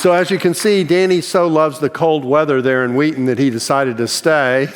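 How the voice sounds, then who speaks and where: A man's voice: fairly high pitch, fairly even intonation, normal speed, big-room sound, crowd noise, background noise. An older man hosting an interview, talking in a friendly, rambling way with many fillers and restarts. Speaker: interview host.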